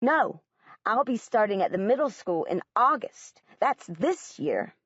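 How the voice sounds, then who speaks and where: A woman's voice: mid-range voice, very animated, unhurried, fairly bright tone, slightly rough, no room sound, highs cut off, heavily acted. A woman voicing an earnest, literal-minded young girl who speaks bluntly and matter-of-factly.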